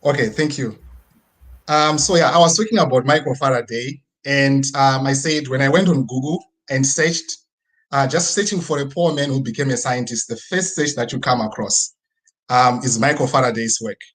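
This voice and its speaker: Zimbabwean male speaker, mid-pitched and husky, conversational motivational talk over video call. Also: moderate pace, fairly bright tone, low-quality recording. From rural Midlands region of Zimbabwe. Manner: conversational, frequent tag questions seeking agreement. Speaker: guest speaker. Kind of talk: personal motivational presentation. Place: online video presentation with screen sharing.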